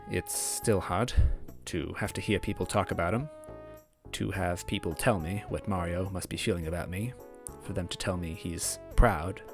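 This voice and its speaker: A man hosting a story read-aloud series, talking casually and narrating in the first person. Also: deep voice. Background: background music.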